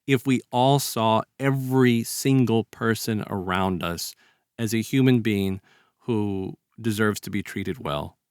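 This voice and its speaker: Halting male podcast guest, close dry sound. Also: on the low side, darkish tone, smooth, no echo. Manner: halting, repeats words emphatically as he builds a point. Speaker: podcast co-discussant. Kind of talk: casual reflective discussion.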